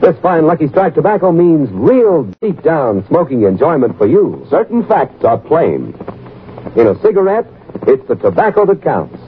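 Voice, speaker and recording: A man's voice: on the high side, wildly swooping pitch, moderate pace. A male commercial announcer reading a scripted 1940s radio advertisement with emphasis. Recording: tinny, faintly noisy old radio broadcast.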